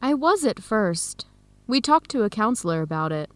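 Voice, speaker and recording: A woman's voice: mid-range voice, very animated, moderate pace, dry recording, close to an everyday voice. A woman acting an earnest young adoptee in a radio drama, speaking openly with an American accent. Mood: reflective.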